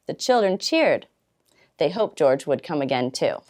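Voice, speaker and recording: Animated, lower-voiced woman reading a storybook. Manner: expressive, with dramatic pauses and drawn-out words. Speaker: volunteer storytime reader. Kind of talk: children's storybook read-aloud.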